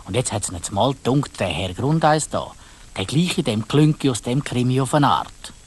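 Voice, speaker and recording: A man's voice: medium pitch, lively intonation, normal speed, slightly rough. A man with a Swiss German accent narrating a children's story in a colloquial way, addressing his listeners directly.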